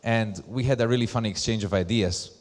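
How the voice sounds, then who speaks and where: Low-voiced Slovak-accented man in echoey hall. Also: fairly quick. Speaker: presenter, brand ambassador. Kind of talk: conference presentation. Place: large hall at a live event. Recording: echoey hall with long reverb.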